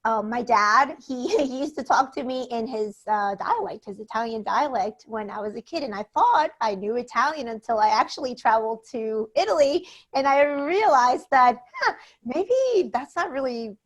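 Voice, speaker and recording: A woman from Venezuela, a native Spanish speaker, telling her life story as a podcast co-host in an animated way, laughing often and acting out quotes. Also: fairly high pitch, normal speed. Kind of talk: casual storytelling chat.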